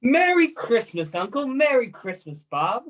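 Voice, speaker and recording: An actor playing a good-natured, cheerful young nephew in a radio play, coaxing in manner, with a slightly husky voice. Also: male voice, very high-pitched, sing-song, a little slow, heavily acted. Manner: bright-hearted, coaxing.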